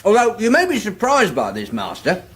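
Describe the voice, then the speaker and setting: A man's voice: high voice, huge pitch swings, normal speed, fairly bright tone, exaggerated voice. A man playing a greedy, self-deluded little dwarf messenger in a comic fantasy radio drama, chatty, boastful and cheeky. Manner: cheeky, matter-of-fact, boastful.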